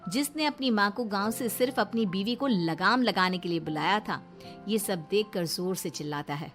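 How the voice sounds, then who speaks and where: A woman's voice: mid-range voice, moderate pace, fairly bright tone. A woman reading scripted narration for a family moral story. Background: music.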